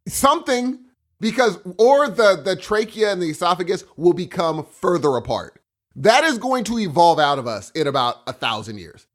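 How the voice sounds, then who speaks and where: A man's voice: high-pitched, big pitch swings, normal speed. A man co-hosting a podcast in casual, rambling, joking chat. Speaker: podcast co-host.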